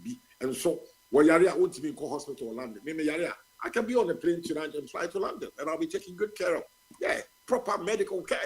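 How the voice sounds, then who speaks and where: A man's voice: a little high, quick pace, rough recording. Ghanaian man in his fifties, stammering. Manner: mixes English and Twi, repeats words, stammers. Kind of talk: casual radio discussion.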